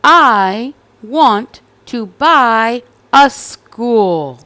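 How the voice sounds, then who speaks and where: A woman voicing a stubborn, eager little schoolgirl in a children's storybook, with drawn-out, insistent delivery. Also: fairly high pitch, animated, bright, forceful tone, exaggerated voice. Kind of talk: children's storybook read-aloud.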